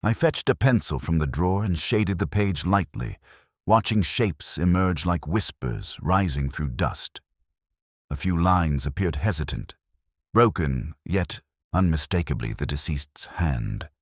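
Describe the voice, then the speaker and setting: A man acts as a weary older detective narrating an audio mystery drama in an extremely low voice. His delivery is measured and introspective, rising and falling expressively. The sound is close and dry. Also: expressive, dry recording. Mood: weary, reflective. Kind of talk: scripted first-person mystery narration.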